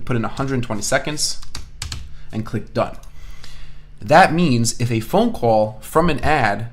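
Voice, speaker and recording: A man with a mid-pitched voice teaches an online software tutorial. He explains each step in a conversational way, thinking aloud as he demonstrates. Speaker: online marketing instructor. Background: voice-over on a screen recording.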